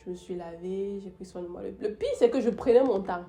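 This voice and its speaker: A woman's voice: mid-range voice, fairly quick, warm tone, soft background music. A young woman with the flu tells a story about her day in a casual vlog, with many fillers and restarts. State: sick with the flu. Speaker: YouTuber.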